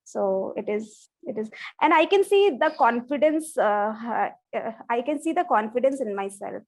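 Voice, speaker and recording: A woman with a high voice talks over a video call. She is a Bangla speaker practicing her English, and she halts and restarts often as she hunts for words. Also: lively intonation, moderate pace, darkish tone. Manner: hesitant, many restarts and fillers. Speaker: English learner. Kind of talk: online English practice conversation.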